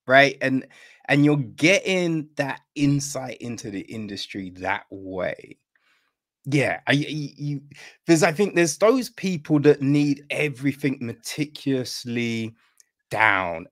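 A man's voice: medium pitch, a bit bright. An older man hosting a casual podcast interview, speaking informally with hesitant phrasing. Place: indoor room at home, on a video call. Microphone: video call.

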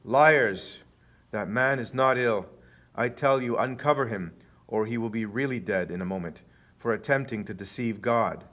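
A man's voice: on the low side, normal speed, natural voice. A man voicing a commanding, kindly yet stern healer in a solemn, declamatory story reading. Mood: furious.

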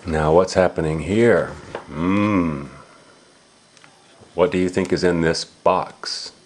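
A man's voice: low voice, slow, a little hiss or hum. A man reading a picture book aloud to a young child, asking simple questions and exclaiming as he explains.

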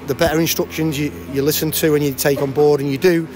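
Fast-talking football manager. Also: male voice, a little high, noisy background. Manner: fast, animated, frequent restarts and repeated words. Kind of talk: post-match interview. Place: outdoors by a cafe banner at a stadium. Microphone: handheld interviewer mic.

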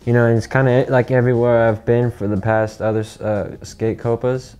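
Hesitant young man, flat smooth voice. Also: medium pitch, moderate pace. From California. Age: young adult. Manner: hesitant, many fillers and repeats. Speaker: pro skateboarder. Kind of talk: casual interview answers. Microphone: lapel mic.

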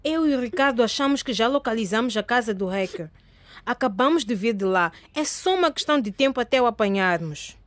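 A woman acts a caring young cousin in a radio drama. Her voice is fairly high and swings in pitch, lively and concerned. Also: a little fast, no room sound, close to an everyday voice. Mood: determined. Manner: animated, concerned.

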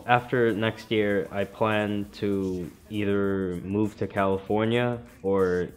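A man of about twenty from New York, telling his story in an interview, relaxed and matter-of-fact. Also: lowish voice, slow, clear, resonant tone, soft background music. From South Ozone Park, New York. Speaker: student.

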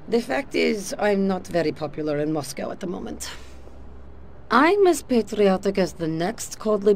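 A sultry, cool-headed female KGB agent speaks with a Russian accent. She talks in a dry, self-assured way, with a lethal edge. Her video game cutscene plays over a faint ambient hum. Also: mid-range voice. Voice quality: cool, confident, dry delivery.

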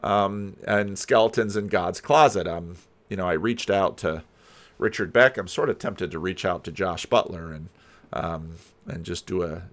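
A man's voice: low-pitched, a little slow. Older man thinking aloud. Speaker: commentary host. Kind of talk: casual rambling commentary on a video. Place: home room lined with books. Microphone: desk microphone, close, with headphones.

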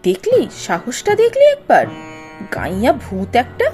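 A woman's voice: on the high side, huge pitch swings, normal speed, a little mellow, soft background music. Sneering, wildly swooping college girl. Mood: indignant. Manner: sneering, scornful. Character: spiteful, arrogant young college girl who sneers. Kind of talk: Bengali animated story dialogue.

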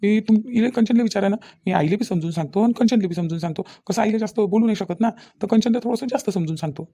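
A man's voice: high voice, fast talker, dark tone. A man playing a young village husband in a comedy cartoon, placating and reassuring, with a rural Vidarbha Maharashtrian accent. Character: young village husband, soothing, conciliatory son-in-law. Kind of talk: Marathi comedy cartoon dialogue.

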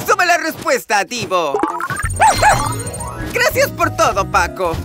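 An excitable, childlike male cartoon explorer. The voice is high and lively, taking its time over each line with wide-eyed curiosity and lots of exclamation. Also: slow, heavily acted. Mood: cheerful, eager. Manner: exclamatory, wondering. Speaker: lead character of the show. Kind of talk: animated children's educational cartoon dub. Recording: music under the voice. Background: music.

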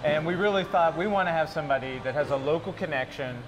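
A man's voice: a little high, fast. A man in his forties, a theme park executive, giving polished, upbeat answers as a guest in a promotional interview. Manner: polished, upbeat corporate talk. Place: inside a decorated restaurant.

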